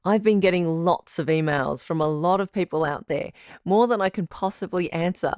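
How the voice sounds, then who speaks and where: A woman playing a supportive radio advice doctor and host in an audio drama, measured, articulate and reassuring. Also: deep voice, a little mellow, dry recording, studio quality, natural voice. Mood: welcoming, composed.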